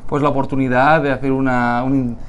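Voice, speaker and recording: A man's voice: medium pitch, level tone, a little fast, clear, resonant tone. A man from Barcelona with a Catalan Spanish accent, an easygoing lawyer guest in his thirties who starts his answers hesitantly. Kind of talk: casual interview.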